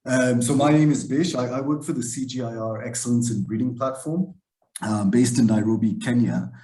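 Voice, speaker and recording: A man from Zambia introducing himself on a webinar panel, relaxed and hesitant with many fillers. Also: medium pitch, moderate pace. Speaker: plant breeding panelist. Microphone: video call.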